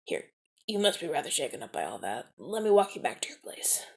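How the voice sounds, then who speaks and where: A man voicing a suave, smooth-talking mafia enforcer in an ASMR audio roleplay, teasing and dropping into soft whispers. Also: a little high, sing-song, fairly quick. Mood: charming. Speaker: mafia man rescuing a newcomer.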